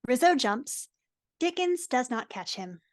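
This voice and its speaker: A woman's voice: high voice, sing-song, unhurried. A woman reading stage directions as the narrator of a live dramatic reading.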